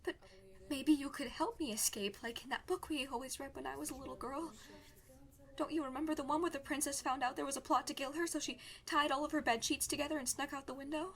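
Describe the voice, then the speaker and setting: A woman's voice: sits high, steady tone, fast talker. A woman playing a young, rebellious princess in a fantasy audio drama, headstrong, pleading and emphatic. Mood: desperate pleading.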